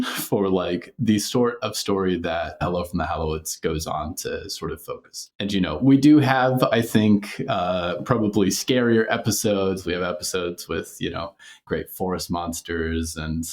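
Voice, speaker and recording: A man's voice: low-pitched, darkish tone. A man speaking as a podcast creator in a casual interview, explaining things thoughtfully and good-humoredly. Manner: chuckles often while explaining thoughtfully.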